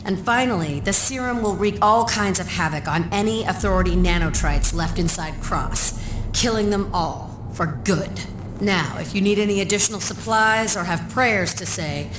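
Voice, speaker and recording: A woman's voice: mid-range voice, moderate pace, lightly acted. A woman playing a confident resistance leader in a video game, briefing in a commanding, encouraging way. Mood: determined. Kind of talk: scripted video game acting. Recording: echoey, with music behind.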